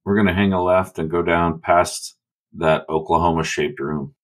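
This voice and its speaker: A man's voice: low-pitched, fairly even intonation, normal speed. A man playing a tabletop game, bantering casually with the other players. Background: background music.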